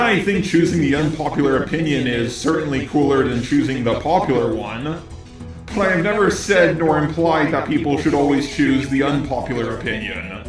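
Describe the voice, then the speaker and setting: A man's synthetic text-to-speech robot voice reading scripted rebuttal commentary as the host of a video. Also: mid-range voice. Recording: roomy echo, music behind. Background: background music.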